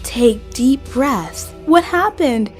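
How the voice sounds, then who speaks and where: Independent young wife female actor, audio drama: high, unhurried, faint music. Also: animated, exaggerated voice. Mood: alarmed. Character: proud, independent pregnant ninja wife. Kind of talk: fan audio drama acting.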